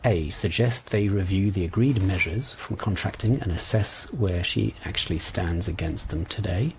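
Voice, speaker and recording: A man's voice: low voice, moderate pace, vocal fry, a little mellow, slight background noise, low-quality recording. A man working as a coach trainer, leading an informal online lesson in a conversational way, often hesitating as he thinks aloud. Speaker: coach trainer leading exam preparation session.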